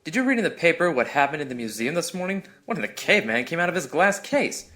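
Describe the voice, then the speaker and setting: A man playing a brisk, excitable regular-guy customer in old-time radio drama style. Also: a little high. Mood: chatty.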